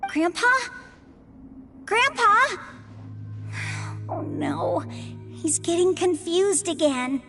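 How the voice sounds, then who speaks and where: A woman's voice: animated, drawn-out words, pauses often, full character voice. A woman voicing a tiny, chirpy and fretful female fairy companion in a video game, speaking of herself by name. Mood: worried. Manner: squeaky, talks about herself by name. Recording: game audio with loud music behind.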